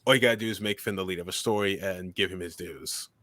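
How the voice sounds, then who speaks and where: Man, monotone voice, lowish pitch, dry close recording, interview guest answering at length. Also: fast, no echo. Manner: monotone, steady delivery. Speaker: guest filmmaker and commentator.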